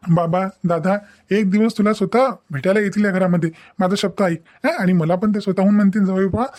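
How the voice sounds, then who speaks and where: Man playing a devoted young husband, high voice, fast talk, patient coaxing, cartoon family drama. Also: a little mellow, natural voice. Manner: coaxing, repetitive, tags phrases with "haan".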